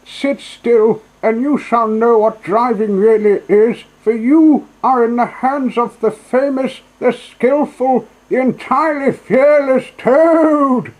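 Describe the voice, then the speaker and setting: Storybook toad, male, high and loud, pompous, reckless, boastful, exclamatory. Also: slow pace, few pauses, rough recording. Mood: boastful, exultant. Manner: pompous, exclamatory, grandly self-praising. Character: boastful, reckless male toad, conceited motor car snatcher. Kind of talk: storybook character voice.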